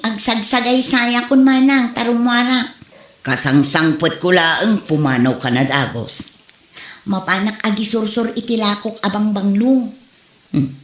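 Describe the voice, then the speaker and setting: A man's voice: on the high side, a bit bright. A man playing a stingy, nagging wife and mother who complains and scolds, in an amateur comedy drama. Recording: roomy, echoing room sound.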